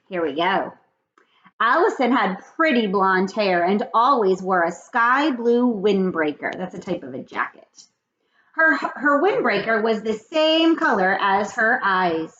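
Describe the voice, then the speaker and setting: A woman's voice: medium pitch, fairly bright tone. A woman reading a children's story aloud expressively, addressing young listeners. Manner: addresses young children, explaining words and asking questions. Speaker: read-aloud host. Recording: muffled lo-fi with faint noise.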